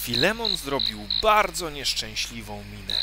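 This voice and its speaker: A man narrating a scripted children's story in a theatrical way, with suspenseful emphasis and pauses. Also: mid-range voice, very animated, creaky, heavy background noise.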